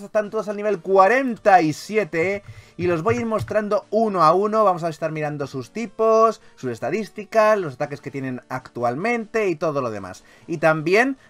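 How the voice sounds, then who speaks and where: A man's voice: high-pitched, big pitch swings, normal speed, a bit bright, soft background music. A man with an accent from Spain doing casual game commentary as a YouTube host, enthusiastic, playfully grandiose and freely swearing. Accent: Spanish from Spain.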